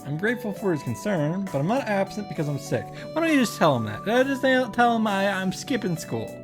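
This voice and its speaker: A man's voice: high-pitched, sing-song, a little fast. A man giving a casual recap and reaction commentary, with exasperated asides, as host of a visual novel playthrough. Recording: music behind the voice. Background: game music.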